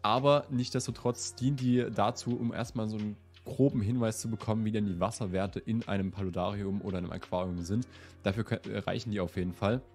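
A man in his twenties with a slight cold, hosting a relaxed question-and-answer vlog in a chatty, easygoing way. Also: on the low side, fairly quick, soft background music. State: slightly ill. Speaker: host. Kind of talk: casual Q&A vlog. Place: indoor room with plant wall and lit shelves.

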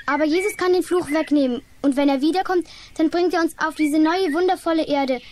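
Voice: high voice, a little fast, darkish tone, lightly acted. A young performer playing a firm, pious, matter-of-fact child in a children's radio play. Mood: assured. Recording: 1980s radio play, faint noise.

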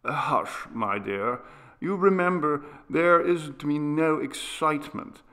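A man voicing an anxious, gentle father who soothes and coaxes a child in a measured audiobook reading. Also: on the high side, voice pushed up, full character voice. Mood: coaxing. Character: anxious, gentle father humouring his sick young son. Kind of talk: dramatised audiobook character reading.

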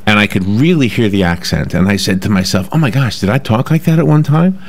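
Chatty New York radio host, low voice. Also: male voice, lively intonation, a little fast. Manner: chatty, laughs easily. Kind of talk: casual radio talk. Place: radio studio. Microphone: desk microphone.

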